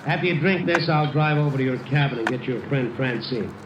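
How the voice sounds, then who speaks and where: Actor playing gallant, quipping male lead, vintage radio drama; medium pitch, hissy roomy recording. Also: brisk, lo-fi sound, lightly acted. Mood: solicitous. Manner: easygoing, flirtatious, quipping. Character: wisecracking, gallant man comforting a woman in trouble. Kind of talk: old-time radio drama acting. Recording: noisy, distant, roomy old radio recording.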